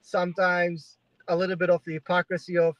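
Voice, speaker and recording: A young man from Turkey with a fairly high voice tells his story conversationally, with plenty of ums and restarts. The sound is dull and dry, like audio from a remote video call. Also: monotone, fairly quick, a bit bright, no room sound. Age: 20s. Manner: conversational storytelling with frequent fillers. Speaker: guest journalist. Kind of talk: livestream interview.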